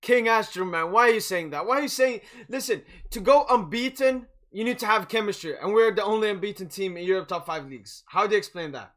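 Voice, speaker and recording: Young male football streamer, high bright voice, cocky and joking, casual chat delivery. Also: a little fast, few pauses. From Sweden and Algeria. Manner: boastful, jokey, prone to chuckling. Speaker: football watch-along livestream host. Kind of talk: casual livestream chat, match commentary.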